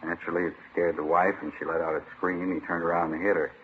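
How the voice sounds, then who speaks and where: A man's voice: sits low, level tone, quick pace, lightly acted. An actor playing a plain-spoken young American ex-soldier husband in an old radio drama, obliging and folksy as he relays information. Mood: matter-of-fact. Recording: muffled vintage radio with faint hiss.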